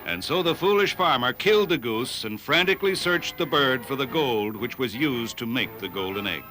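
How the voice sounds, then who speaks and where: A man playing a storybook narrator, telling moral fables in a measured, expressive scripted style. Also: medium pitch, faint music behind, low-quality recording. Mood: grave storytelling.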